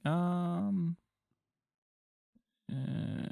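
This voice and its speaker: A man's voice: high-pitched, drawn-out words, gravelly, warm tone, dry recording, clean studio sound. A man co-hosting a podcast, chatting casually in a blunt, sweary way. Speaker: podcast co-host. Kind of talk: casual chat.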